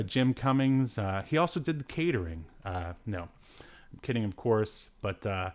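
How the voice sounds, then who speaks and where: A man hosting a podcast with casual, rambling review chat, hesitant and given to dry, joking asides. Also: mid-range voice. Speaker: podcast host.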